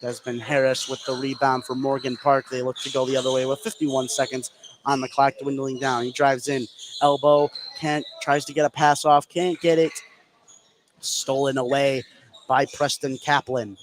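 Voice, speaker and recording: An American man doing live play-by-play basketball commentary for radio, excitable, narrating the action in short running phrases. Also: mid-range voice, moderate pace. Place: indoor gym at a basketball game. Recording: noisy live sports broadcast.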